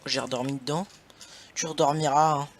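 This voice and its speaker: A man's voice: fairly high pitch, fairly even intonation, brisk, slight background noise. A young man hosting a casual gaming session, laughing often and swearing playfully at his partner. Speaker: gaming channel host.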